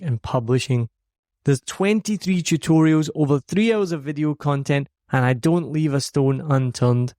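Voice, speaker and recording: A man's voice: on the high side, a little mellow, no echo. A Scottish man hosting his podcast from a home studio, delivering an upbeat, earnest scripted monologue. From Scotland. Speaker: podcast host.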